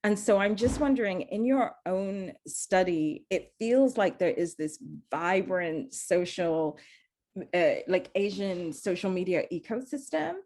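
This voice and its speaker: A woman's voice: medium pitch, animated, unhurried, a little mellow. A woman from Zambia, speaking earnestly as a panelist and often restarting her sentences. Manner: earnest, searching, many restarts. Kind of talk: panel discussion.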